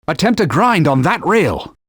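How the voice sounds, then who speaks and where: A stern talking sword, formal archaic speech, husky tone, very lively pitch, dry booth. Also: male voice, unhurried, dry recording, exaggerated voice. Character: proud talking sword, stern knightly male mentor. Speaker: sword companion giving gameplay hints. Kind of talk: video game voice clips. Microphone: dry studio booth.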